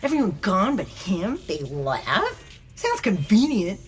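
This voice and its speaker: A woman's voice: medium pitch, huge pitch swings, takes it slowly. An actress playing a tough, suspicious female starship lieutenant, a bossy and playful sidekick in a comedic sci-fi audio drama.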